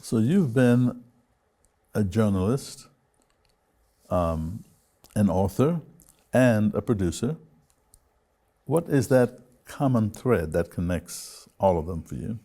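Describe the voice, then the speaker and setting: Mellow, lowish-voiced male interviewer. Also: animated, slow pace, long pauses. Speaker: television interview host. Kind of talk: measured interview questioning.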